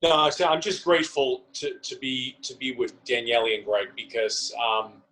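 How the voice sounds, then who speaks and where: A man's voice: mid-range voice, steady tone, moderate pace, pushed and bright, rough recording. Earnest, tentative man with mid-range voice. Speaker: interview guest, theater company member. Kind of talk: casual interview chat. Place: remote video call.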